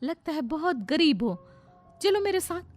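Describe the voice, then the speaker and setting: A woman's voice: extremely high pitch, lively intonation, normal speed, soft background music, pitched above the performer's own voice. A woman voicing a nosy, patronizing small-town woman in a cartoon story, exclaiming with pity.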